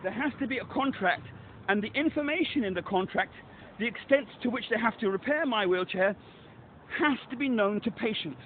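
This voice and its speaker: A man's voice: high voice, animated, brisk, a little hiss or hum. A man on a phone call, pressing his complaint urgently and repeating himself. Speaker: caller. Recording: tinny phone line.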